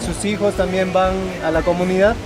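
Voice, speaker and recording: A man from Peru with an Andean accent and Quechua as his first language works as a local guide. He explains things in a casual way, out in the open. Music is clearly heard behind his voice. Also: sits high, fairly even intonation, moderate pace. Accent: Peruvian Andean. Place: outdoors in a valley town. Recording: music laid over the voice.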